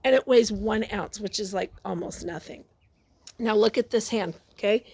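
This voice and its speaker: A woman reading a picture book aloud to young children, expressive and exclamatory, often breaking off to ask her listeners questions and add asides. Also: medium pitch, normal speed, vocal fry, slightly rough.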